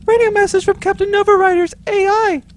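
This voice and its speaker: A woman's voice: extremely high pitch, steady tone, brisk, a little hiss or hum. A woman playing a flustered, deferential junior starship radio officer in a space audio drama.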